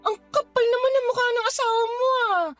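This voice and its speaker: A woman's voice: extremely high pitch, steady tone, fairly quick. An actress in a Tagalog radio drama playing an indignant, protective female cousin with an exclamatory, scolding way of talking. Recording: music bed behind the voice.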